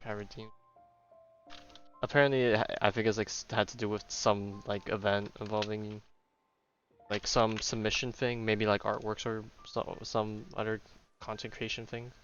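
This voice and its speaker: Low-voiced man chatting over a game. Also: little pitch movement, normal speed, fairly bright tone, dull audio, soft background music. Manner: rambling, offhand. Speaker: game streamer. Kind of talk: casual live gameplay commentary.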